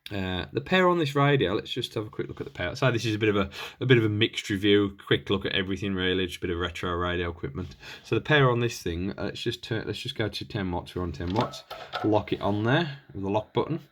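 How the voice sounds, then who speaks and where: A man with a low voice talks through a piece of gear as a hobbyist presenter. He is unscripted and casual, often hesitating and wandering as he thinks out loud while his hands are busy. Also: fast talker, hardly any pauses. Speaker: hobbyist presenter reviewing radio equipment.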